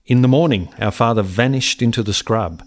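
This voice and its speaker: An actor playing a haunted settler who recalls his lost brother in deliberate, poetic radio-play narration. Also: mid-range voice, close to an everyday voice. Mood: brooding, haunted.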